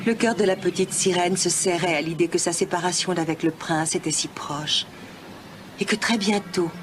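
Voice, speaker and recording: A woman's voice: lowish voice, moderate pace, background noise. A woman narrating a children's fairy tale from a script, as a storyteller. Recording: music behind.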